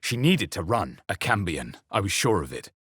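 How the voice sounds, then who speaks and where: An actor playing a brooding video game hero, narrating in a measured, solemn, ominous storytelling manner. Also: male voice, deep voice, very animated, a bit bright, slightly rough, dry recording, clean studio sound, exaggerated voice. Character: brooding adventurer hero recounting dark visions. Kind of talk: dramatic first-person scripted game narration.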